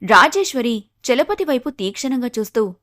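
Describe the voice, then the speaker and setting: A woman's voice: a little high, very animated, moderate pace, a bit bright. A woman giving scripted narration of a moral story.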